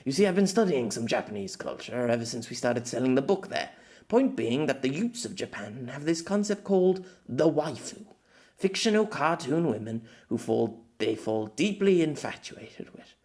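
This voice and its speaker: A man's voice: mid-range voice, very animated, moderate pace, a little mellow, close to an everyday voice. A man voicing a grumpy, condescending novelist with a pompous, exasperated, sardonic manner. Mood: musing, condescending.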